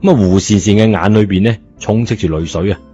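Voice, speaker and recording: Low-voiced male storyteller. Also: quick pace, warm tone. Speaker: storyteller, narrator. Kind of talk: colloquial scripted story narration. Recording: dull lo-fi audio, music behind.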